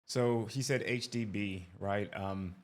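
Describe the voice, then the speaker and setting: Chatty low-voiced man reacting to a video. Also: slow. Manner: talkative, fills with "right?" and restarts. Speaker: expat vlogger reacting to a video. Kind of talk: casual reaction commentary.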